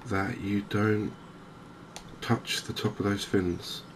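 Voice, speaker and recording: A man speaks softly and low, taking his time as he commentates on a game in an ASMR style. His phrasing is measured and hesitant, with drawn-out gaps. Clear background noise runs under the voice. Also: little pitch movement. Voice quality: soft-spoken. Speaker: gaming video host.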